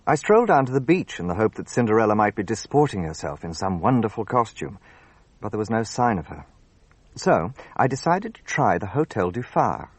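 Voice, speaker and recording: An actor playing an earnest, easily puzzled gentleman, the detective's companion, in a radio drama, with an eager manner. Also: on the low side, very animated, brisk, breathy edge, no echo. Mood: narrating, rueful. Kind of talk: radio drama acting and first-person narration.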